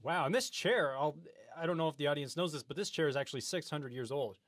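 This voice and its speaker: Male comedy interviewer, slightly high voice, dry close sound, hesitant, earnest. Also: lively intonation, fast talker, a bit bright, no room sound. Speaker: comedy show host interviewing a guest. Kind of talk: improvised mock talk-show interview.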